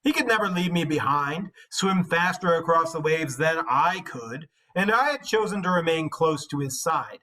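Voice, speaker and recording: A man voicing a proud, boastful hero warrior in an epic poem, declaiming with measured emphasis. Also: fairly high pitch, expressive, pushed and bright, far from the mic, voice pushed up, rough recording. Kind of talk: dramatic epic poem read-aloud.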